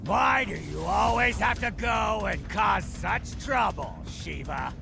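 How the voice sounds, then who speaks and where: Shrill, gravelly old tyrant commander. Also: male voice, lively intonation, music in the background, heavily acted. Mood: bitter scolding. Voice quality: gravelly, creaky. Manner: theatrical, commanding, drawn-out delivery. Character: old tyrannical male military commander, cackling, power-hungry villain. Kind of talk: video game villain acting.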